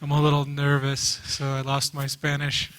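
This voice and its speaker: A man teaching a Bible class in English, speaking earnestly in short phrases and pausing so an interpreter can translate. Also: fairly high pitch, monotone, a bit bright. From United States. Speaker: guest teacher. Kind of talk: lecture given through an interpreter. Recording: reverberant hall.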